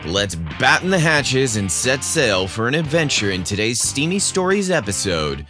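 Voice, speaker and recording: A man who hosts a podcast, narrating scripted stories in a measured, theatrical way. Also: mid-range voice, big pitch swings, music in the background.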